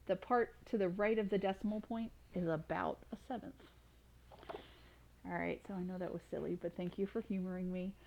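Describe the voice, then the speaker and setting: A woman's voice: lowish voice, steady tone, moderate pace, creaky, muffled recording, a little hiss or hum. A woman storyteller reading expressively to children. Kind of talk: expressive storybook read-aloud.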